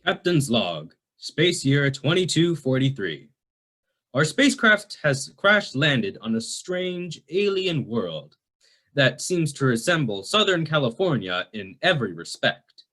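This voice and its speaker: Man acting a cocky spaceship captain, bright, animated. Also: mid-range voice, a little slow. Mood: self-important. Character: cocky, dim heroic male spaceship captain. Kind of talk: campy radio play sci-fi serial acting.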